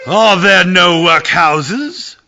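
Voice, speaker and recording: A man voicing a stern, mocking spirit with booming, drawn-out scorn in an audio drama. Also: animated, gravelly, sharp tone, full character voice. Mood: scornful. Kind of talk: theatrical audio drama acting. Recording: muffled lo-fi audio with music.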